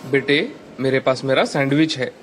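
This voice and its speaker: A woman's voice: extremely low pitch, lively intonation, normal speed, a little hiss or hum, voice pushed down. A woman voicing a kindly, fatherly older male traveller in a moral storybook tale. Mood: kind, offering. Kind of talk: moral storybook character dialogue.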